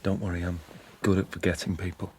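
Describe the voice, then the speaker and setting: An actor playing a wary, melancholy English party man in a dystopian radio drama, speaking in a halting, guarded, terse manner. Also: low-pitched, flat delivery, slight background noise, close to an everyday voice. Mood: halting, subdued. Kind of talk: naturalistic radio drama acting.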